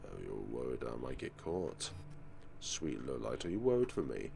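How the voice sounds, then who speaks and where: Man voicing a low, creepy, obsessive teacher. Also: huge pitch swings, moderate pace, gravelly, dark tone, a little hiss or hum, heavily acted. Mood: sly, coaxing. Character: creepy menacing obsessive male teacher, possessive and threatening.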